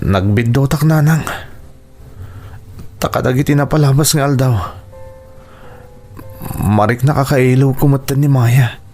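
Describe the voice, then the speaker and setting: A man's voice: medium pitch, measured pace, long pauses, warm tone, close to an everyday voice. A man acting in an Ilocano radio drama as a stubborn adult son pining for the woman who left, with an Ilocano accent and music underneath. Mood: regretful, wistful.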